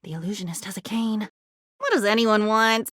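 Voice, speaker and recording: A woman's voice: mid-range voice, normal speed, fairly bright tone, husky, no echo, clean studio sound. An actress playing an unhinged, dreamy woman with a volatile temper who rambles disjointedly in a video game. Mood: wistful. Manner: disjointed rambling, swinging between wistful and agitated. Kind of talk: video game character voice acting.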